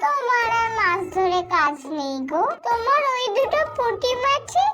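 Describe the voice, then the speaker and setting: A woman voicing an excitable, teasing young married daughter in a family cartoon, with drawn-out delivery. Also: extremely high pitch, loud music behind the voice. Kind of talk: cartoon voice acting.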